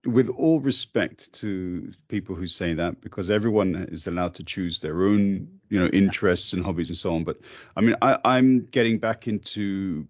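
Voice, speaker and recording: A man's voice: deep voice, normal speed, dry recording, dull audio. A middle-aged man hosting a casual interview, chatting easily with lots of "you know" fillers.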